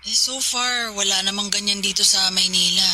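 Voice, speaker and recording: A Filipino woman playing a calm, skeptical working wife in casual, conversational radio drama dialogue. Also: mid-range voice, moderate pace, background noise, natural voice. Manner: casual, reassuring, mildly dismissive. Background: music.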